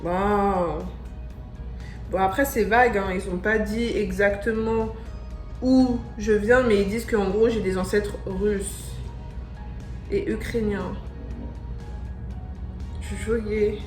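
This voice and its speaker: An excitable young woman from France talks straight to camera in a casual vlog, piling up slangy exclamations. Her voice rings in an echoey room, with faint music underneath. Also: medium pitch. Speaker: vlogger.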